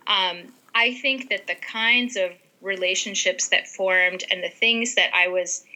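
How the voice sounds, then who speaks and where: A woman with a very bright, clear-toned voice, native to Western Armenian, talks through her research as an author and ethnographer. She thinks aloud, circling back with fillers and false starts, at an ordinary pitch. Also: a little slow, low-quality recording. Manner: thoughtful, many fillers and restarts. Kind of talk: academic interview answer.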